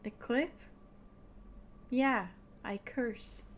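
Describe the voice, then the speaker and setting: A woman's voice: on the high side, extremely slow, slight background noise. A woman with a Swedish accent, Swedish being her first language, streaming a puzzle game and thinking aloud, often dropping to a whisper. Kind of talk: casual puzzle game livestream.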